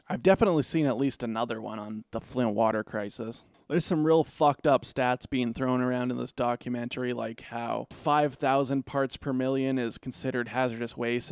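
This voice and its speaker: A man's voice: medium pitch, a little mellow, clean tone, no echo, studio quality. A man hosting a casual film review, rambling and profane, with lots of "like" fillers. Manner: rambling, profane, laid-back with frequent "like" fillers.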